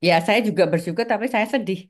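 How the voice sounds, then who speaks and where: A woman in her sixties from East Java, a guest recalling her family's past in an interview, pausing often with fillers. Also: medium pitch. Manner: hesitant, many filler pauses. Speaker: guest, article author. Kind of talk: interview, personal recollection.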